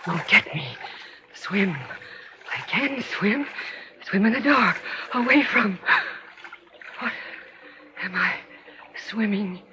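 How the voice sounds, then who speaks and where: Haunted murderess stuck in a nightmare, old radio horror, slow broken phrases, pitch all over, noisy old tape. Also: female voice, expressive. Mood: terrified, desperate. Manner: melodramatic, halting fragments, from whispers to shrieks. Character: guilt-haunted murderous stepmother trapped in a nightmare, frantic. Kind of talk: old-time radio horror acting, interior monologue. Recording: dull, lo-fi old radio recording with noise.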